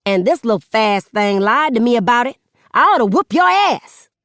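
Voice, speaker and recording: A woman voicing a loud, confrontational mother who shouts and swears in anger. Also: a little high, sing-song, moderate pace, no room sound, studio quality, voice pushed up. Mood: furious.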